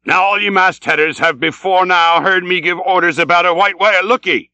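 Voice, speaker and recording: Fierce, vengeful old sea captain, high and impassioned. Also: male voice, steady tone, fairly quick, bright, forceful tone, no echo. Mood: commanding, excited. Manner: vehement, archaic, declamatory speech. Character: old, obsessed, vengeful whaling ship captain, fierce and commanding.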